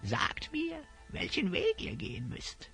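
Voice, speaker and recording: A man playing an odd, over-friendly wandering stranger in a fantasy audio drama, creaky and sharp, with a drawn-out singsong delivery. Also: extremely high pitch, huge pitch swings, soft background music, exaggerated voice. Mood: ingratiating. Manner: drawn-out, singsong rhyming greeting. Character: odd, over-friendly stranger offering himself as travel companion.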